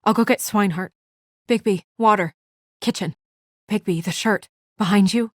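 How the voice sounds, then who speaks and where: A woman's voice: medium pitch, moderate pace, dry recording, lightly acted. A woman acting as a composed, earnest young investigator in a noir fantasy video game, serious and restrained with a dry edge. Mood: urgent. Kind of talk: video game voice acting, naturalistic dramatic dialogue.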